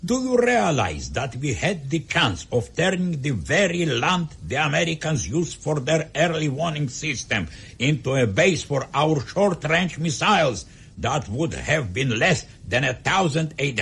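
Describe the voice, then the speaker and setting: An actor playing a menacing, scornful Soviet leader in a radio drama, with a gravelly, husky voice and a commanding, incredulous delivery. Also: male voice, on the high side, very animated, measured pace, a bit bright, exaggerated voice. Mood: scornful outrage.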